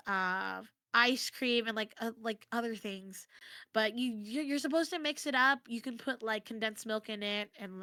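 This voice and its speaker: A woman's voice: a little high, sharp tone, smooth, no echo, clean studio sound. An American woman chatting casually and expressively as a guest on an interview stream. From United States. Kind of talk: casual chat.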